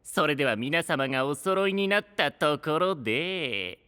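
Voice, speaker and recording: A man's voice: on the high side, expressive, unhurried, bright, forceful tone, clean tone. A male actor playing a sly, pleasure-seeking young trickster in an anime-style audio drama, teasing in polite, theatrical speech. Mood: playful.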